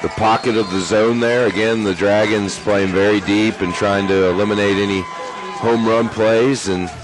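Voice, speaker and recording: A man doing color commentary on a high school football game, chatty with lots of fillers, his voice worn from shouting. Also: fairly even intonation, moderate pace, poor audio. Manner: chatty, filler-heavy explaining. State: losing his voice. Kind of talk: analytical sports color commentary.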